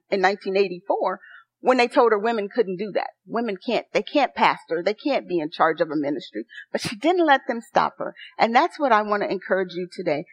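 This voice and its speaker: A soft-spoken woman pastor preaching a sermon, earnest and rising to excited encouragement. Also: mid-range voice, dry recording. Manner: earnest, building to excited exhortation. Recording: dry, dull lo-fi sound.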